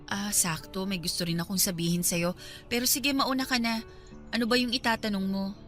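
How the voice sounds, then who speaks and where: A woman's voice: medium pitch, fairly quick, fairly bright tone, faint music behind, close to an everyday voice. A woman playing a long-suffering young mother in an emotional radio drama, earnest and pleading with her partner. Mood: guarded.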